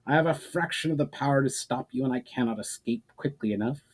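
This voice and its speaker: A man's voice: mid-range voice, fast talker, husky, lightly acted. A proud woman of magic from a fantasy tale, as a male reader puts her on. She speaks formally and sharply, with a defiant, challenging edge. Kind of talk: dramatic fantasy audiobook reading.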